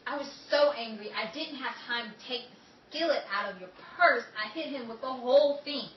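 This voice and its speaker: A woman's voice: on the high side, moderate pace, lo-fi sound, natural voice. A woman playing a naive, defensive young sidekick in a 1930s-style radio comedy, earnest and protesting. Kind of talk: old-time radio comedy acting. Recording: roomy, distant, noisy amateur recording.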